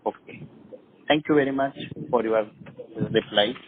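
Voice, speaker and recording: A man's voice: mid-range voice, normal speed. A man with an Indian accent putting questions to management as a caller on an earnings call. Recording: narrow phone line with faint noise.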